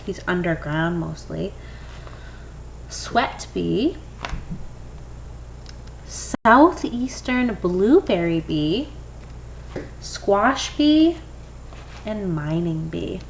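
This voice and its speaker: Female picture-book reader, unhurried, expressive, very varied pitch. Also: creaky, slight background noise, rough recording. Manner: expressive, acts out dialogue. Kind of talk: animated children's read-aloud.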